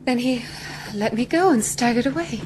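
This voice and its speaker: A woman's voice: fairly high pitch, normal speed, a little mellow, close to an everyday voice. An actress in a radio drama playing a genteel, spirited woman, articulate and composed under strain. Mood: anxious. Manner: articulate, composed but anxious. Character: spirited, genteel young woman aboard a sealing ship. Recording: audio drama with clear ambient noise.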